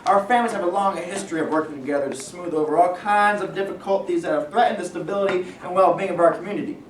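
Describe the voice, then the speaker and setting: Man playing a high-voiced, smooth steel businessman. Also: close to an everyday voice. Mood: persuasive. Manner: measured persuasion with veiled threats. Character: smooth, pressuring steel company businessman, wealthy family heir. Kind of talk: staged play reading. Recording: echoey room, distant mic, faint noise.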